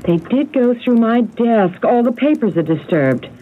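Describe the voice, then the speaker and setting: An anxious, curious radio-drama wife. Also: female voice, a little high, animated, unhurried. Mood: suspicious. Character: anxious, curious wife puzzling over a burglary. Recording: muffled, noisy old radio broadcast.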